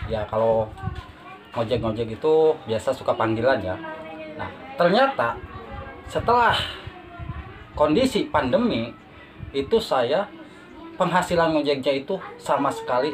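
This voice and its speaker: A man answering casual interview questions as a podcast guest, humble and halting, repeating his phrases. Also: medium pitch. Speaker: podcast guest, beginner YouTuber. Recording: noisy amateur phone recording.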